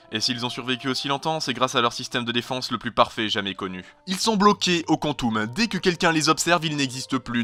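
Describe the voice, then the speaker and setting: Bright-voiced man acting a grave, eccentric time traveler. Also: medium pitch. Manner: explanatory, earnest, dramatic. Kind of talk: amateur French fandub acting. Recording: home dub over background music.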